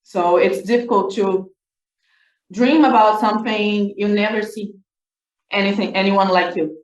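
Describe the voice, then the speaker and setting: A woman's voice: medium pitch, fairly even intonation, unhurried, slight background noise. A Brazilian woman with a Brazilian Portuguese accent talks earnestly on a discussion panel. Her voice sits in the middle range. It sounds roomy and a little distant from the microphone. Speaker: student scientist.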